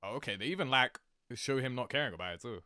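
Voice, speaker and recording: A man giving casual, expressive reaction commentary in informal slang as a video host. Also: medium pitch, fast, smooth, no echo.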